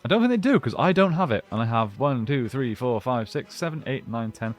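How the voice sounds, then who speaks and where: A man with a mid-pitched voice chats through a collectible review. He is informal and unscripted, thinking aloud as he handles the item. Music plays behind his voice. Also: lively intonation, fairly quick. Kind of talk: casual unboxing and review chat.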